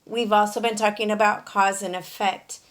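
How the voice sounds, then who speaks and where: A woman's voice: medium pitch, little pitch movement, normal speed. A woman reading a picture book aloud for young children, explaining things in an instructive way as a storyteller. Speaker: storyteller, teacher.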